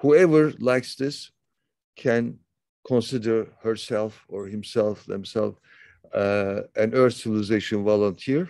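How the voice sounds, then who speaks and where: A man from Turkey hosting a conversation over a video call, talking slowly with many fillers and restarts. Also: fairly low pitch, darkish tone, dry recording. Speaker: interview host. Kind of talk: casual conversation.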